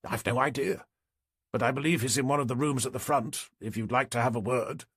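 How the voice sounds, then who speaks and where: A man's voice: medium pitch, a little fast, a bit bright, breathy edge, no echo, clean studio sound. A man voicing a fussy, exasperated police doctor in an audiobook, with a British accent. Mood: matter-of-fact.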